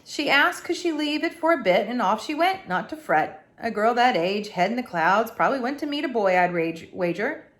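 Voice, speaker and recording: A woman's voice: fairly high pitch, expressive, normal speed, pitched above the performer's own voice, lightly acted. A woman doing a blunt male country constable. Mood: offhand. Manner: dismissive, offhand.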